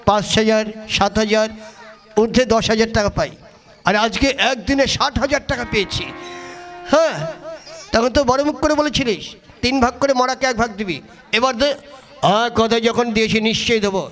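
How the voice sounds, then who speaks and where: High male voice, cocky puppet bandit, played by a man, crude, boisterous, folk comedy, faint music. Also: a bit bright. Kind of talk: Bengali comic puppet play.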